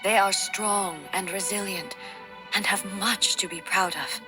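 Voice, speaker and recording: An actress voicing a solemn, dignified warrior deity in a video game, with a formal, measured and resolute delivery. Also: female voice, mid-range voice, fairly even intonation, music in the background, natural voice. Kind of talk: scripted video game voice acting.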